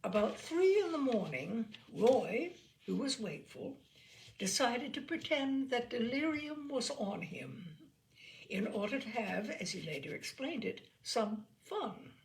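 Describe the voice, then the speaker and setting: A woman reads a literary story aloud with care. Her pitch moves widely and expressively, and a noticeable creak colors the voice. Phrases are measured and deliberately placed. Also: animated, a little hiss or hum, rough recording. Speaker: storyteller reading short stories aloud.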